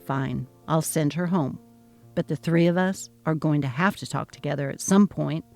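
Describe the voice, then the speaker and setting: A woman's voice: normal speed, vocal fry, a little mellow, soft background music. A woman reading the part of a young husband, dropping her voice and speaking bluntly. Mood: curt. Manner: blunt, lowered voice. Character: curt young husband and father.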